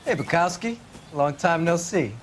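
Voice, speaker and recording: A man's voice: a little high, expressive, normal speed, lightly acted. A man voicing a friendly, blunt 1940s traffic officer who briefs detectives on a crash.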